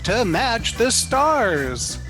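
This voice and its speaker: A woman acts as a grand game show announcer, stretching each name extremely slowly. The pitch swoops about showily, over clear background music. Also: sing-song, exaggerated voice. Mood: showy.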